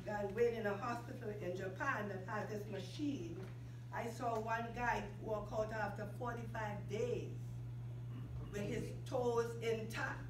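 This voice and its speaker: Fervent woman in her seventies. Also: mid-range voice. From Caribbean. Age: 70s. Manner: fervent, preacherly, addresses crowd as folks. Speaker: speaker at a sales workshop. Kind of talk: motivational testimonial talk. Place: office meeting room with audience. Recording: roomy, distant mic, noisy room.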